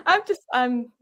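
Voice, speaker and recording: A woman with a high voice and lively, swooping intonation chats casually as a co-host on a live book discussion. She is playful and giggly, heard over a video call. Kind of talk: casual chat.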